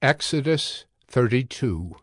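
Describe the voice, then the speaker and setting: A man narrating scripture from a script in a measured, storytelling manner. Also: medium pitch, expressive, fairly bright tone, slightly rough, no echo.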